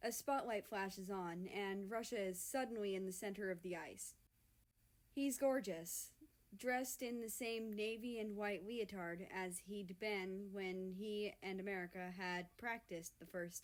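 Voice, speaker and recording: A woman reading a story aloud as an amateur narrator. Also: mid-range voice. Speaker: amateur fan-fiction reader.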